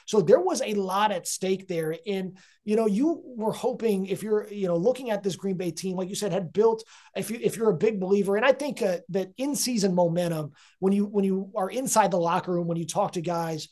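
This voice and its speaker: A man, a guest sports writer, breaking down football games in casual analysis, halting and restarting his sentences often. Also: sits high, darkish tone. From India. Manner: hesitant, many restarts and fillers.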